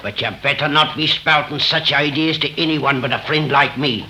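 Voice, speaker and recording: Blunt frontiersman, folksy western slang, creaky and piercingly forceful, vintage radio drama acting, noisy old recording. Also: fairly high pitch, moderate pace. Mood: blunt, warning. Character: blunt, bigoted 1850s California frontier man.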